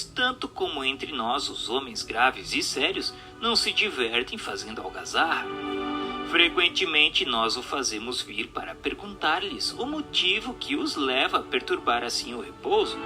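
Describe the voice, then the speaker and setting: A Brazilian man narrates a book over faint music. His voice is very sharp and bright, with lively swings in intonation. The delivery is scripted, formal and emphatic. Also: on the high side.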